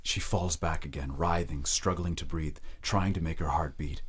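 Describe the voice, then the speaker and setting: A man's voice: rumbling low, steady tone, normal speed, fairly bright tone, husky. A man narrating an audio drama from a script.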